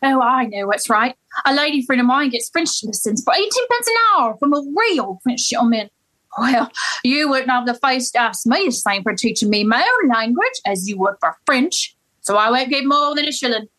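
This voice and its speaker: A woman's voice: on the high side, animated, a bit bright, poor audio. A woman playing an indignant young flower girl in an amateur play reading. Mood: cheeky. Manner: indignant, quick to protest. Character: young cockney flower girl, defiant and touchy.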